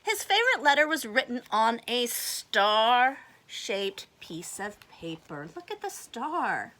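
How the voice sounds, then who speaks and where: A woman reads a children's story aloud in a bright, high voice. Her delivery is slow and swings widely in pitch, with an expressive, exaggerated manner aimed at young listeners. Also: a little hiss or hum. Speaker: storyteller reading a picture book to children. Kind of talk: animated children's read-aloud with asides and questions.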